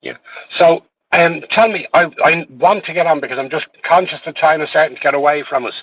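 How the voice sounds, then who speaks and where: A man's voice: fairly high pitch, fairly quick, slight background noise. A man hosting a casual interview from his parked car, brief and agreeable as he steers the talk. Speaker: host. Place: parked car by passing traffic. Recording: tinny phone line.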